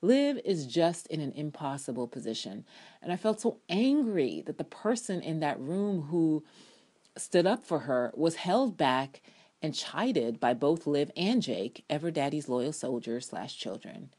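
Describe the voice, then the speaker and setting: Female reader, low pitch, delivering sardonic TV commentary as a dramatic, emphatic essay reading. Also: very animated, measured pace, dark tone, breathy edge. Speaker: TV drama blogger reading own review aloud.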